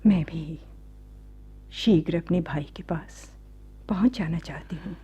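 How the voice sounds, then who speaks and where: A woman playing a devout, pleading young captive princess in a radio drama, speaking softly in formal, plaintive phrases on a muffled recording. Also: on the low side, a little slow, full character voice. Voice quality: soft, breathy, mellow. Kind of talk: slow, theatrical Hindi radio drama acting.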